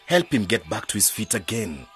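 A man's voice: lowish voice, very animated, moderate pace, a bit bright, faint music behind. An actor playing a weary, philosophical village man in a radio drama.

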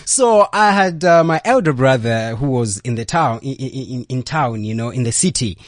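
A young man from Zambia, a leadership coach who is a studio guest, telling his life story in an animated way and often repeating words. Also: mid-range voice, normal speed, no echo. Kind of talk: storytelling interview. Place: radio studio.

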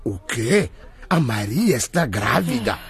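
A remorseful husband in a radio drama, begging and faltering. His pitch leaps wildly as he stammers and implores, hesitant at every turn. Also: sing-song, slow, vocal fry, husky, full character voice. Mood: shocked, distraught. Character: remorseful, pleading husband and father, fresh out of prison.

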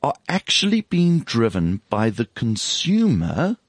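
A man with a hoarse, husky voice, speaking as a guest on a studio radio show, reflective and hesitant, with many fillers. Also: medium pitch, huge pitch swings, a little slow, no echo. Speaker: bank executive guest. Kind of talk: casual radio chat.

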